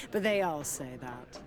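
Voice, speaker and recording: A woman playing a sweet-talking tavern courtesan in a video game, coy, familiar and teasing. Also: low voice. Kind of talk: video game dialogue acting.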